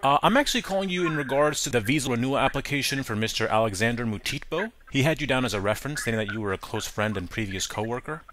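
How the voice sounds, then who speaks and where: A man voicing a formal government caseworker who speaks in a measured, businesslike way on a phone call. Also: mid-range voice, lively intonation, a crowd in the background, lightly acted. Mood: official.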